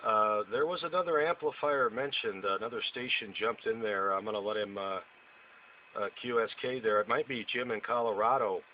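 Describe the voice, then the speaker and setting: A man's voice: fairly low pitch, moderate pace. A man who operates amateur radio, chatting casually in radio procedure with a hesitant, rambling manner. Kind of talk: casual radio chat with call-and-reply procedure words. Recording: dull lo-fi audio with faint noise.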